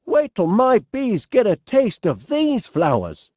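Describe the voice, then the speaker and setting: An actor playing a fussy, cheerful, absent-minded middle-aged inventor with a Northern English accent, speaking in a bumbling, polite way for an animated comedy game. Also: male voice, high voice, takes it slowly, no room sound, studio quality, full character voice. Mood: musing. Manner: bumbling, hesitant, polite, chatty.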